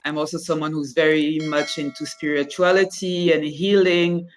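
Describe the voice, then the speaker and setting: A woman's voice: deep voice, little pitch movement, normal speed, a little hiss or hum. A woman from Cameroon in her forties, a fashion brand founder talking reflectively about her life in an interview. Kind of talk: interview conversation.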